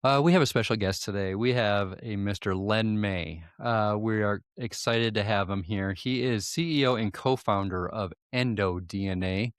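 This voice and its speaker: A man's voice: lowish voice, moderate pace, hardly any pauses, dry recording, clean studio sound. A man hosting a podcast, eagerly introducing his guest in an upbeat way. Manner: eager, upbeat, laughs along. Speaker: podcast host. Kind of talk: interview introduction.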